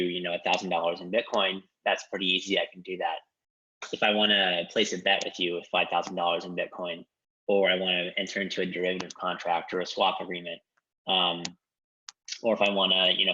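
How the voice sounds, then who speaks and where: A man explaining in a measured way on a conference call, with frequent ums and you-knows. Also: low-pitched, sharp tone. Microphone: conference call line. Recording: dull lo-fi conference call audio.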